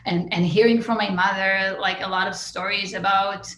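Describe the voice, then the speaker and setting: Israeli-born woman, interview guest; bright, forceful voice in an echoey room, reflective and halting. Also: mid-range voice, flat delivery, a little hiss or hum. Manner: open, reflective, many fillers and restarts. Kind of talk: personal story in an interview.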